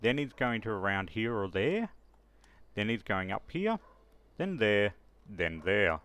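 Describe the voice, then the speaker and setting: A man narrating a casual software tutorial, explaining each step informally as he goes. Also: fairly low pitch, big pitch swings, measured pace, smooth. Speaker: tutorial presenter.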